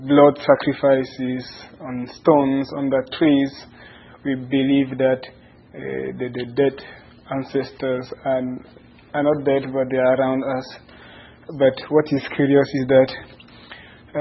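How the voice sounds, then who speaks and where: A man from Cameroon, telling his life story to interviewers in an earnest, halting way as he searches for words. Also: medium pitch. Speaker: interview guest. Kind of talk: personal life story in conversation. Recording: dull lo-fi sound with faint noise.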